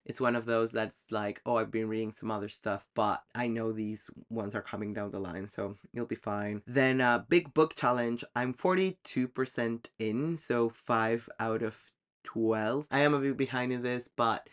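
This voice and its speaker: A man's voice: mid-range voice, steady tone, normal speed, fairly bright tone, clear, resonant tone. A man talking casually to camera about his reading goals, chatty and self-deprecating, with frequent ums. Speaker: book vlogger.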